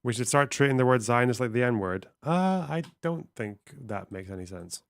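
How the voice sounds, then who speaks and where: A man's voice: medium pitch, animated, normal speed, no room sound, studio quality. A man streaming, reading text aloud and musing on it casually, swearing offhandedly. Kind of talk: casual livestream commentary.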